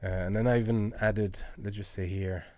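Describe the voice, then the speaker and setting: A low-voiced man chatting casually as he works through a live music-making tutorial, halting and thinking aloud. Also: steady tone, dark tone, no room sound. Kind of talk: casual tutorial chat while working. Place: indoors at a computer.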